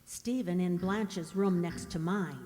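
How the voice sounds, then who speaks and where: An actress playing a fearful mute young woman whose inner thoughts are heard in a hushed, halting monologue, in old radio thriller style. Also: on the low side. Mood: uneasy, brooding. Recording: reverberant radio play with music behind.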